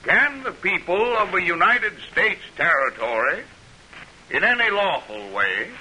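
A very bright, piercing male voice over faint radio hiss, an earnest political debater of the 1800s. His delivery is formal and challenging, with lively swings in pitch, in old radio drama style. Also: exaggerated voice, rough recording. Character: earnest 19th-century American political candidate, pointed debater.

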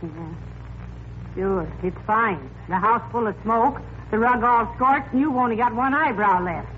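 An actress playing a sharp-witted, exasperated wife who scolds with dry sarcasm in a 1940s radio comedy. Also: female voice, fairly high pitch, measured pace, close to an everyday voice. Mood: sarcastic. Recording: hissy, tinny vintage radio recording.

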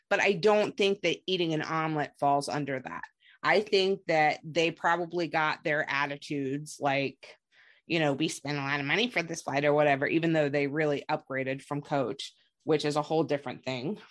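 A woman's voice: low-pitched, moderate pace, fairly bright tone. A woman hosting a podcast, chatting casually and gossipily as she recaps reality television. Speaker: podcast host.

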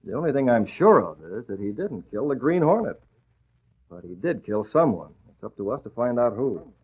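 A brisk, commanding boss in a vintage radio drama. His pitch moves a great deal and the voice is slightly husky. The old recording is narrow and muffled. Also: big pitch swings. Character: brisk, confident newspaper boss, secretly a masked crimefighter. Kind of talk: 1940s radio acting.

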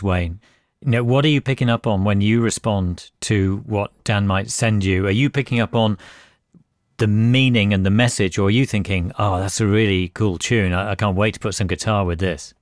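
A man's voice: lowish voice, moderate pace, no echo, clean studio sound. A man hosting a music podcast and interviewing a band, summing up their answers in a reflective way. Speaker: podcast host. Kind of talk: interview chat.

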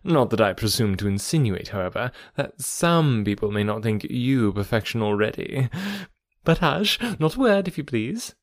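A man's voice: mid-range voice, sing-song, moderate pace, dry recording, close to an everyday voice. A smug, boastful young wife from a classic novel, played by a male narrator. She talks with showy emphasis and patronising airs, in an affected voice with a close, dry sound. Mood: smug, vivacious. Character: self-important, affected, boastful young married lady. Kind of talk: audiobook character voice, classic novel.

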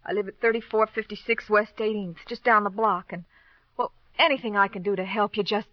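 A woman playing a nervous, defensive female witness in a vintage radio drama, speaking in a hesitant, halting way. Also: medium pitch, steady tone, no echo, close to an everyday voice. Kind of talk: 1940s-50s radio drama acting. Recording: muffled old radio recording, dry studio.

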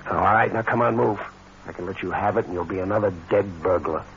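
An actor with an American accent playing a smooth, cold-blooded schemer in a vintage radio crime drama, in terse hard-boiled slang. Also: male voice, deep voice, fast talker, fairly bright tone. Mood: menacing. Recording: muffled, noisy old radio recording.

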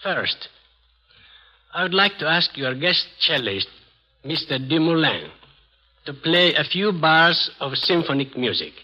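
A man appearing as a guest on a radio quiz, putting formal, measured questions to children in a slightly halting way. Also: a little high, big pitch swings. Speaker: guest musician quizzing children. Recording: dull lo-fi vintage radio recording.

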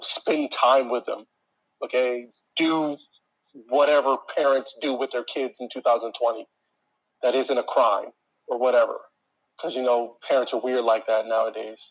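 Man, deep and a bit husky voice, exasperated rant, casual chat to listeners. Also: low-quality recording. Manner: exasperated ranting storyteller, talks to listeners.

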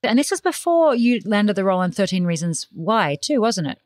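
Animated, teasing woman podcast host. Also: mid-range voice, fairly quick, no room sound. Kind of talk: interview podcast.

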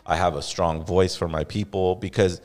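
A man's voice: deep voice, steady tone, normal speed, a little mellow. A man hosting a casual podcast chat, laid-back and loose, calling his guest bro and swearing freely. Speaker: podcast host. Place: podcast studio in Los Angeles.

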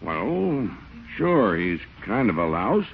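Folksy small-town police chief, animated. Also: male voice, fairly low pitch, takes it slowly, gravelly, full character voice. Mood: apologetic. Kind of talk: old-time radio comedy acting. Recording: muffled, noisy vintage radio recording.

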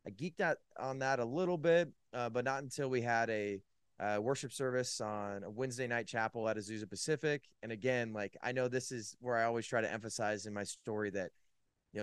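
A man's voice: medium pitch, clear, resonant tone, no room sound, studio quality. A man from California, a podcast guest, telling his faith story in a casual, rambling way with many "likes" and restarts. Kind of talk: casual personal testimony.

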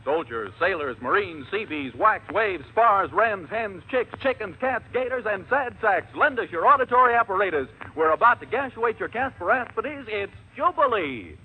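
Male 1940s radio variety announcer, high register, showy hepcat patter, narrow vintage broadcast sound. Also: expressive, moderate pace, few pauses. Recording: tinny band-limited old radio recording, music behind.

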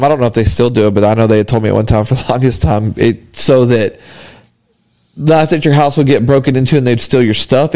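A man talks easily on a casual podcast and tells relaxed, laughing anecdotes. His voice sits in an ordinary middle pitch. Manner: laid-back, laughing anecdotes. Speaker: podcast co-host. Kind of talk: casual chat.

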